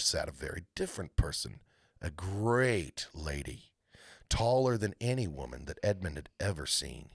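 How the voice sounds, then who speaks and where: A man reading a storybook aloud as a live host, narrating dramatically with deliberate pauses. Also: low-pitched, a bit bright, dry recording, studio quality. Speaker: read-aloud storyteller hosting a live stream.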